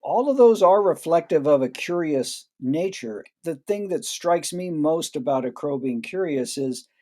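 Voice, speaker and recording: A man's voice: a little high, lively intonation, unhurried, mellow. A man answering as a guest expert in an interview, explaining at length in a reflective way and restarting phrases.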